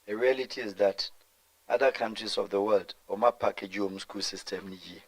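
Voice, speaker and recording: A man's voice: on the low side, fairly even intonation, moderate pace, low-quality recording. A middle-aged Ghanaian man answering in an interview, talking emphatically and mixing in Twi, with stumbles and repeated words. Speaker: guest.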